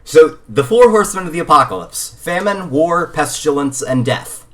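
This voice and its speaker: A man's voice: fairly high pitch, expressive, moderate pace, a bit bright. A man co-hosting a casual podcast, explaining things in an opinionated, correcting way. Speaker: podcast co-host.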